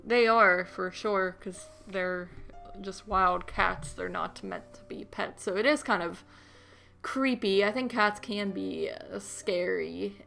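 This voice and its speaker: A woman with a bright voice and plenty of vocal fry chats about movies as a podcast co-host. She speaks informally, feeling her way through sentences, with faint music underneath. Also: mid-range voice, slow. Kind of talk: casual movie chat.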